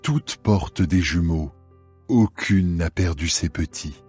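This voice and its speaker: A man playing an adoring, solemn royal lover in a dramatized scripture reading, with measured declamation. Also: deep voice, a little mellow, breathy edge, soft background music, heavily acted.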